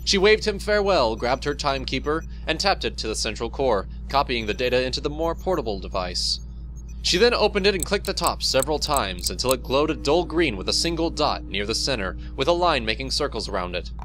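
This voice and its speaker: A man's voice: mid-range voice, big pitch swings, fairly quick, a bit bright, slight background noise, low-quality recording. A man reading scripted narration for an audio drama.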